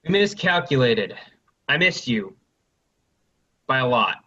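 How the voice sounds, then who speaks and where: A man's voice: mid-range voice, big pitch swings, takes it slowly, sharp tone, heavily acted. A man playing a pragmatic, loyal friend and reluctant accomplice in a staged reading with dull sound. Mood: pleading.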